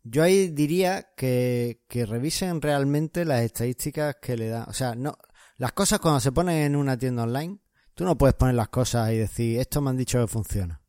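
Male co-host, warm even tone, dry mic, talks listeners through advice. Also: medium pitch, normal speed, dry recording. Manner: explanatory, advising listeners. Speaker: podcast co-host. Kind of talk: casual chat.

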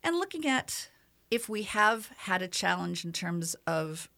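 Woman radio host, gravelly, animated pitch, earnest. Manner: earnest, measured, lots of fillers. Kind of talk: radio talk show hosting. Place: radio studio.

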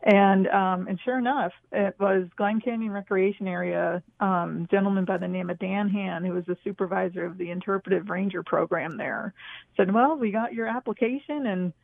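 A woman's voice: mid-range voice, normal speed, vocal fry, dry recording. A woman in her sixties from northern New York, telling personal stories casually as an interview guest, heard over a phone line. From northern New York, USA. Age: 60s. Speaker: guest, author and former park ranger. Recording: tinny, narrow phone line.

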